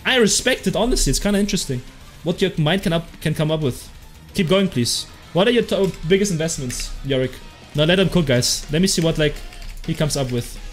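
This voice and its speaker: Young German streamer, heavy accent, slightly high voice, faint game music behind, loose and sweary. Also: male voice, fairly quick. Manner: excitable, swears freely, laughs at chat. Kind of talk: casual live game commentary, reading chat. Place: small room at home.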